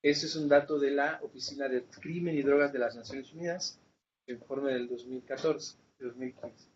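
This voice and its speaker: A man's voice: mid-range voice, fairly even intonation, normal speed. Man lecturing, muffled noisy recording. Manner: didactic, checks understanding with rhetorical questions. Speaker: lecturer leading a seminar session. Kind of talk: academic lecture with questions to participants.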